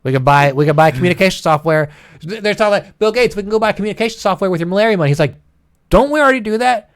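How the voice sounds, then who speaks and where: Male gaming co-host. Rapid, casual, sweary banter with mimicked voices, recorded dry. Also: high voice, animated, no echo. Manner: profane, joking, mimics imagined speakers in banter. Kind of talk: casual chat about video games.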